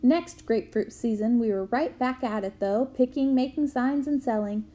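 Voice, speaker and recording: A woman reading a memoir aloud to students in a clear storytelling manner. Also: fairly high pitch, normal speed. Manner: clear storytelling, bursts into loud shouts for quoted yells.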